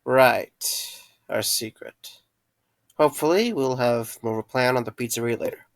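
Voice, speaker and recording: A man acting an earnest, guilt-ridden man in an audio drama, with halting, serious delivery. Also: mid-range voice, noisy background, close to an everyday voice. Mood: reassuring. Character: earnest, guilt-ridden man confessing dark secrets to a friend.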